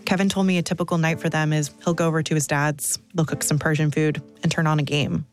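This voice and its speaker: A woman's voice: low voice, little pitch movement, fast, soft background music. A woman, narrating a scripted podcast story as host and reporter.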